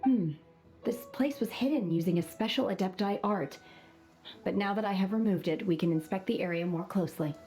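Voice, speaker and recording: A woman voicing an aloof, calm young woman in a game, speaking in a quiet, reserved manner. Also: medium pitch, fairly quick, faint music behind, lightly acted. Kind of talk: game dialogue.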